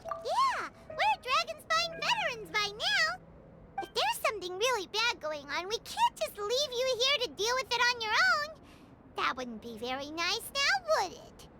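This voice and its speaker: A woman voicing a small, excitable fairy-like girl companion in a video game, with a chirpy, childlike, chatty manner. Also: very high-pitched, measured pace, heavily acted. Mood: earnest, insistent. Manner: chirpy, refers to self in third person. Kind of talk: scripted video game character acting. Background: music.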